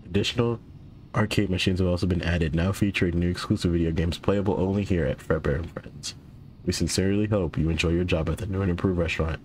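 Deep-voiced young gamer losing his voice. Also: fairly even intonation, fast, a little mellow, a little hiss or hum, rough recording. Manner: casual, stumbling reads with self-corrections. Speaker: gaming YouTuber. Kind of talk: horror game let's play, reading notes aloud.

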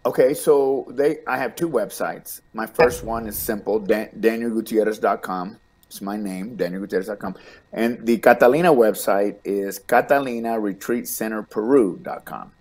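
Middle-aged man with a Texas drawl, speaking in a lowish voice over a home video call. He talks in a loose, rambling way, often doubling words as he gets going. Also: normal speed. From Texas, United States. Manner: warm, rambling, repeats words when starting sentences. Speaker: guest. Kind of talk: casual interview chat.

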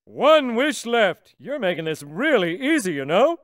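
A man's voice: sits high, sing-song, measured pace, a bit bright, dry recording, clean studio sound, exaggerated voice. An actor playing a friendly wisecracking genie in a video game, chummy and playful like a showman. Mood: chummy, apologetic. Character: wisecracking, friendly larger-than-life male genie. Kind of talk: animated video game acting.

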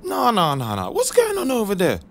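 A man's voice: high-pitched, huge pitch swings, normal speed, slight background noise, heavily acted. A man playing a cocky Jamaican ladies' man with a flirtatious, boastful, taunting manner in a comic dub.

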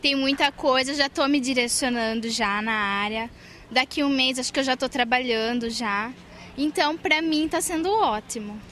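A woman's voice: sits high, normal speed, fairly bright tone, slight background noise. A woman in her twenties, a physiotherapy student, talking in an upbeat, direct way into a handheld mic in a school hallway. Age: 20s. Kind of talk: documentary interview.

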